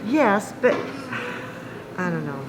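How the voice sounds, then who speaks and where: A woman's voice: medium pitch, very animated, slow pace, very noisy. A woman playing an earnest, devoted believer in a radio play, speaking hesitantly as she searches for words. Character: earnest, devoted woman convinced by a new leader.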